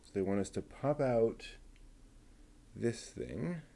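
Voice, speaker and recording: A man's voice: medium pitch, animated, creaky, mellow, slight background noise. A man hosting a livestream, chatting casually and thinking aloud while he assembles a model kit. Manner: unhurried, thinking aloud, muttering to himself.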